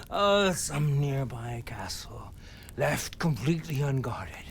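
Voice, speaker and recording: An actor playing a wounded, defiant captive who mocks his captors slowly between sighs and laughs in a game drama. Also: mid-range voice, big pitch swings, slight background noise. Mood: taunting. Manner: slow, taunting, breaking into sighs and wheezy laughs. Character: wounded captive henchman, mocking, defiant, resigned to death. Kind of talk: dramatic video game acting.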